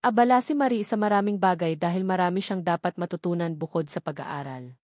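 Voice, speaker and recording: A woman's voice: lowish voice, a little fast, a little mellow, no echo, clean studio sound. A woman with a Filipino accent reading a first-person novel as a scripted narration. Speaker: audiobook narrator.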